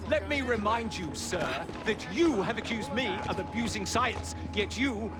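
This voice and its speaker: An actor playing an indignant older Victorian gentleman scientist with an English accent and formal, precise diction in a video game. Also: high-pitched, lively intonation, measured pace, over loud music. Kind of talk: dramatic video game acting.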